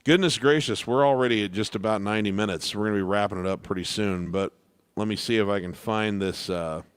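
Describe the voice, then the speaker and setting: Baritone man, piano teacher, loose rambling delivery with restarts, very low and smooth, close dry sound. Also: fairly quick, no room sound. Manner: relaxed, rambling with restarts and fillers. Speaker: piano teacher hosting live Q&A. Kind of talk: casual live-stream teaching.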